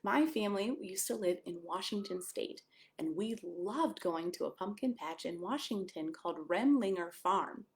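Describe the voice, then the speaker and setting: American woman with lively, animated storytime delivery. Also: on the low side, moderate pace. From United States (Maryland). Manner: simple child-directed phrasing, asking listeners questions. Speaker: pastor reading a children's story. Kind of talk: children's storytime read-aloud with chatty asides.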